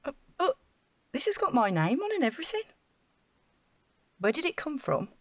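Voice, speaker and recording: A woman's voice: high-pitched, sing-song, moderate pace, dry recording. A woman playing an anxious, apologetic, reluctant accomplice in an audio drama, hesitant and unsure. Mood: nervous, apologetic.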